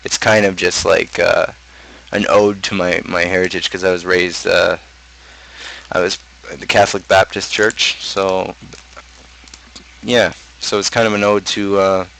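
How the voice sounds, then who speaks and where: A man with a low-pitched voice talks casually through song lyrics. His delivery is halting, with repeated starts, and stays quite flat. There is some noise behind him. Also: vocal fry, rough recording. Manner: halting, repeats and restarts phrases. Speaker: streamer and rapper. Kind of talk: casual talk, explaining own song lyrics.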